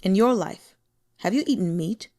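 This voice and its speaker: A woman's voice: medium pitch, normal speed, close to an everyday voice. A woman voicing a powerful male demon lord who speaks evenly and indifferently. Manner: even, indifferent.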